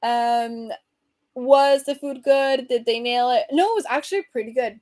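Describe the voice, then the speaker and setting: A young woman from Venezuela speaks with a Venezuelan accent and a warm, soft tone that sits a little high. She chats loosely with her viewers, reading their comments aloud, with lots of fillers and laughs. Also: normal speed. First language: Spanish. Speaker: host. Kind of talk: casual livestream chat.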